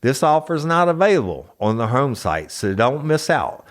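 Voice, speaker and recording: A man's voice: medium pitch, very animated, normal speed. A man hosting a podcast reads a sponsor ad in a gushing, hyped-up way. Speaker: podcast host reading an ad. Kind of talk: enthusiastic host-read ad.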